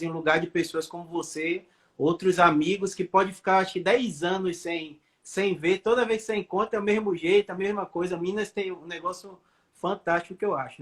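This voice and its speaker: A man in his thirties from Salvador, a neurosurgeon guest answering interview questions in a friendly, rambling way. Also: high-pitched. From Salvador, Bahia, Brazil. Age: 30s.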